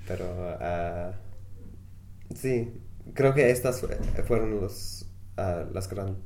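Young guy from england, real low gravelly voice, lots of pauses. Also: a little mellow, slight background noise. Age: young adult. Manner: hesitant, many filler sounds and restarts. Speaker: student interviewee. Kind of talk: interview answers in learner Spanish.

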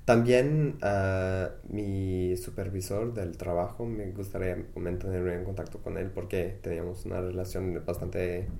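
Low gravelly young man, hesitant. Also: fairly even intonation, measured pace, few pauses, dark tone. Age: young adult. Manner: hesitant, many filler sounds and restarts. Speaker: student interviewee. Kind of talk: interview answers in learner Spanish.

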